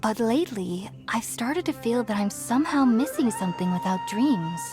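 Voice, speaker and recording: A woman playing an earnest, gentle young researcher in a video game, explaining things in a thoughtful, articulate way. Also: on the high side, lively intonation, normal speed, lightly acted. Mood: earnest, reflective. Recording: music behind the voice.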